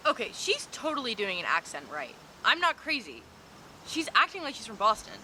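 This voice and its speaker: Woman acting a high, animated, skeptical young woman. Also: brisk. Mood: exasperated. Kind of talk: acted comedy sketch dialogue. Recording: noisy outdoor location sound.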